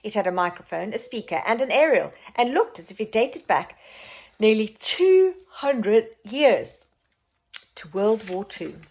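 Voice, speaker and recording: A woman reading a children's book aloud as an expressive story narrator. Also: medium pitch, unhurried, slightly rough, poor audio.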